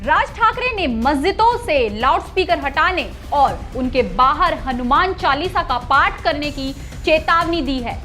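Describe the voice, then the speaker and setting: A woman's voice: sits high, animated, measured pace, hardly any pauses, sharp tone, soft background music. A woman news anchor reading a scripted news report.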